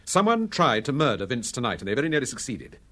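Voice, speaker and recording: Gentleman detective, breathy-edged male voice, lively intonation, courteous yet probing, radio drama. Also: mid-range voice, fast talker, exaggerated voice. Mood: firm, probing.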